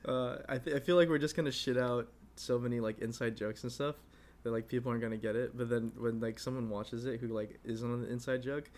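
A man's voice: mid-range voice, steady tone, fairly quick, darkish tone, a little hiss or hum. A man in his twenties co-hosting a casual podcast, rambling with lots of fillers and laughing often. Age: 20s. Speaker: podcast co-host. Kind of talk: casual chat.

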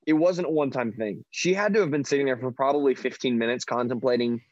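A man co-hosting a podcast, telling casual stories in a loud, piercing voice with a joking, self-deprecating manner. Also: mid-range voice, fast, no room sound, highs cut off. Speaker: podcast co-host. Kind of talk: casual banter and storytelling. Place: online video call.

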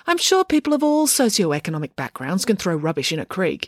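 A woman's voice: on the low side, very animated, brisk, no room sound, studio quality. A woman voicing a posh, unflappable, wry girl in a story, speaking precisely and wordily. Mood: smug, reasonable.

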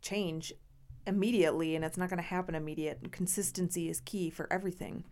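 A woman guesting on her husband's podcast, talking in a calm, matter-of-fact way. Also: fairly low pitch, fast talker. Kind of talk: casual conversation with her husband.

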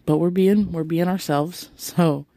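A woman hosting a rambling solo podcast on her phone, sounding tired, with a raspy, deep voice and a chatty, playfully flirty way of talking, apologizing again and again. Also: fairly even intonation, a little slow, mellow, no room sound. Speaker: podcast host. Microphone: phone held close.

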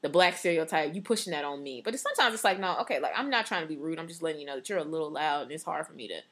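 A woman co-hosts a casual podcast, talking loud and passionate with a bright, sharp tone. Her pitch swoops around a lot as she laughs and jumps back in. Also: animated, very fast. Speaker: podcast co-host.

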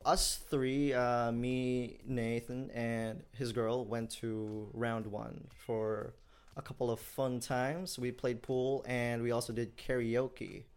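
A man's voice: medium pitch, slow, clean tone. A young man co-hosting a casual podcast chat, telling stories and laughing often. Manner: laughs often, animated storytelling. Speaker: podcast co-host.

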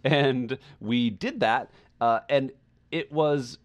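A man's voice: mid-range voice, takes it slowly. A man hosting a podcast in a casual, chatty style, rambling as he goes. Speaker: podcast host.

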